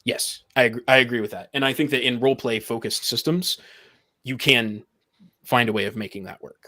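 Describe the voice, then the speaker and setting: A man's voice: mid-range voice, brisk, pushed and bright. A man in a casual podcast debate, making his points emphatically and repeating them. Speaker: podcast panelist.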